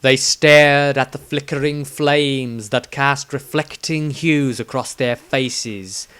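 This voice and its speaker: A man reading a fantasy story as a dramatic audiobook narrator, with theatrical, deliberate emphasis. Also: mid-range voice.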